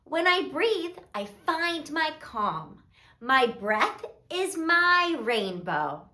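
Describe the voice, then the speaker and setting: A woman voicing a gentle young male fox in a children's story, speaking softly and slowly. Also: high voice, big pitch swings, full character voice. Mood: calm, relieved.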